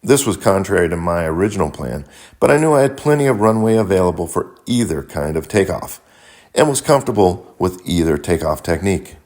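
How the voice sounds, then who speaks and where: A man who works as a pilot and mentor, narrating a scripted explanation in a measured, instructional manner. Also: sits low, very animated, darkish tone. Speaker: pilot and flight mentor, video host.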